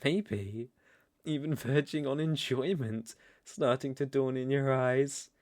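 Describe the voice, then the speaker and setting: A man's voice: medium pitch, slow, a little mellow, no room sound, studio quality, close to an everyday voice. A British man playing a seductive, domineering vampire lord in an intimate ASMR roleplay, with teasing, ornate, condescending speech. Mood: soft, seductive.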